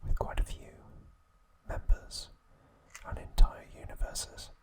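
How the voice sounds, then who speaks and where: A man hosting ASMR, narrating trivia in a hushed, deliberate way with short broken phrases, close to the microphone. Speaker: ASMR video host.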